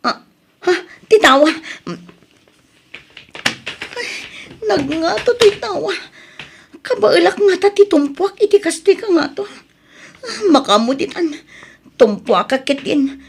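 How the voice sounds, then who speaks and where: A woman playing a troubled young wife, high-voiced. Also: very animated, slow pace, pauses often, exaggerated voice. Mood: desperate. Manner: pleading, plaintive, emotional. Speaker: daughter in audio drama. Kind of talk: Ilocano audio drama acting.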